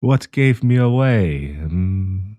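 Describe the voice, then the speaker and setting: A man's voice: low-pitched, expressive, mellow, lo-fi sound. A man playing a kindly old professor with a hidden possessive menace, courtly and teasing in an intimate audio roleplay. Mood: sly, menacing. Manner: courtly, teasing, chuckling, deliberate.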